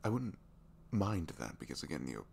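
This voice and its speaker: A captured supervillain played by a man confides in a low voice that swings expressively up and down. He is bashful, self-deprecating and smitten, halting and dropping into whispers as in an intimate ASMR roleplay. A faint hum sits behind him. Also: big pitch swings, vocal fry, a little mellow, heavily acted. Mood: tender, hesitant. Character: captured young male supervillain, reluctantly evil, bashful and lovestruck. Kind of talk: ASMR romantic audio roleplay.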